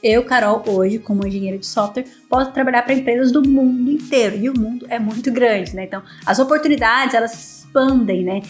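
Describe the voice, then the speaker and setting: Fast-talking Brazilian woman explaining careers. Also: on the high side. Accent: Brazilian Portuguese. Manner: conversational, frequent tag questions, self-addressed questions. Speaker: software engineer and tech YouTuber. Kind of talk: explanatory career advice vlog. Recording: faint background music.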